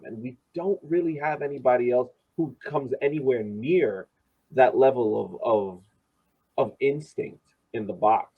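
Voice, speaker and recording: A man's voice: medium pitch, slightly rough, dull audio. A man discussing soccer on a casual podcast, speaking emphatically and often repeating words as he goes. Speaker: podcast host and soccer supporter.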